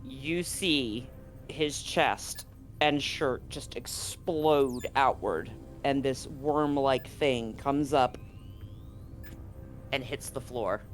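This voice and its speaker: A man's voice: mid-range voice, extremely slow. A man narrating a live tabletop game as game master, conversational and explanatory. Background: background music.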